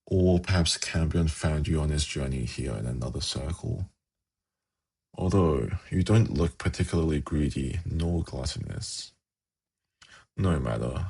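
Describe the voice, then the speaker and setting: A man playing a suave, haughty demon prince in an ASMR fantasy roleplay, speaking in a measured, formal, silkily condescending way with a gravelly, husky voice. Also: extremely low pitch, a little mellow, exaggerated voice. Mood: soft, coaxing.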